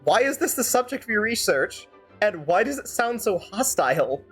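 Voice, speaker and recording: Animated game streamer with music behind. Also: mid-range voice, moderate pace, slightly rough. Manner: animated, rambling, sardonic asides. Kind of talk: playthrough commentary with fan-dub character reading.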